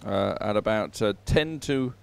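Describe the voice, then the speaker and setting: A man giving unhurried live sports commentary, filling time between races in halting phrases with frequent pauses. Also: fairly low pitch, gravelly, smooth.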